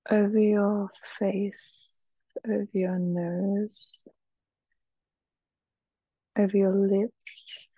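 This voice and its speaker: A woman leading a guided meditation in a soft, breathy, soothing voice, with short phrases and long pauses. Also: medium pitch, level tone, dry recording. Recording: dull, muffled, dry recording.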